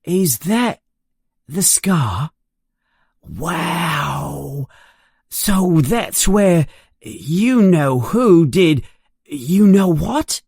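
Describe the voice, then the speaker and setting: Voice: medium pitch, lively intonation, drawn-out words, creaky, pauses often, a little mellow, husky, no room sound, studio quality, pitched above the performer's own voice, heavily acted. A narrator voicing an eager, curious, self-conscious eleven-year-old wizard boy in a storybook. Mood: awestruck.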